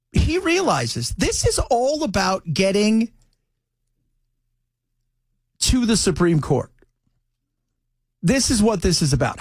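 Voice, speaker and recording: Male American talk radio host. High, lively pitch swings, opinionated and chatty, with rhetorical questions and mocking asides. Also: dry recording. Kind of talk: opinionated political radio commentary.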